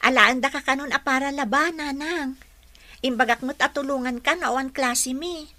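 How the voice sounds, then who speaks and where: A woman plays a poor schoolgirl, dutiful and eager to help, in an audio drama. Her voice is high and bright, with an Ilocano accent. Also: moderate pace, dry recording. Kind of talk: Ilocano radio-style drama acting.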